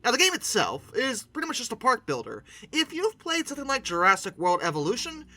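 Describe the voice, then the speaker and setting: Male commentator, swooping lively delivery and theatrical emphasis, very sharp forward tone, music underneath. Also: very high voice, fairly quick. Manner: animated, playful, theatrical emphasis. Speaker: video host, game reviewer. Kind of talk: conversational video essay commentary.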